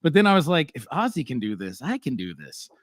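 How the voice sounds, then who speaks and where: A middle-aged man hosting a casual live-stream chat, telling blunt, animated stories full of fillers. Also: mid-range voice, fairly quick, no echo, clean studio sound. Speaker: host.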